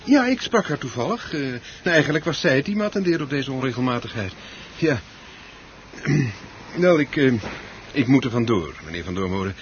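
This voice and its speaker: A man acting a politely evasive club chairman in a radio play. He hems and hesitates with formal apologies, his pitch rising and sinking in a very animated way. The recording is old and muffled. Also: moderate pace, close to an everyday voice. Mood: apologetic. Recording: dull, slightly noisy old radio recording.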